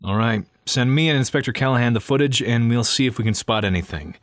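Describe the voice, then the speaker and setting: A man acting as a gruff, guarded police detective burdened by grief in an audio crime drama. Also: medium pitch, quick pace, no echo, studio quality, natural voice. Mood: businesslike.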